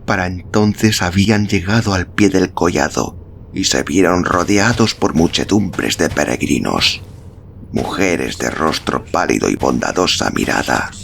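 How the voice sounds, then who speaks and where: A man narrating a literary story in a measured, dramatic manner. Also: low voice, lively intonation, creaky, fairly bright tone, slight background noise. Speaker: audiobook narrator.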